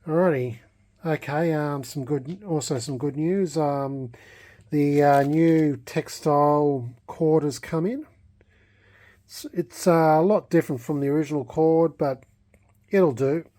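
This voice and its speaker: A man narrating a casual, unscripted hobby vlog in a hesitant, halting way, thinking aloud as he goes. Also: on the high side, dark tone. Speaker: hobbyist vlogger restoring a vintage radio.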